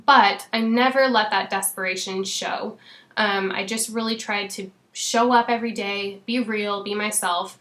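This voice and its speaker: Chatty woman with a hoarse voice. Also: medium pitch, a bit bright. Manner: chatty, frequent "um" and "you know". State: losing her voice. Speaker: fitness coach. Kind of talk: casual talk to a team. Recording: echoey room.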